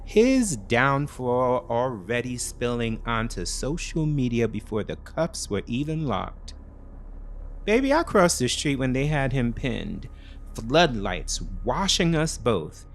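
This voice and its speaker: A man narrates a scripted thriller in the first person. His delivery is unhurried and deliberate, with a lively, varied pitch. There is faint noise in the background. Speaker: storyteller.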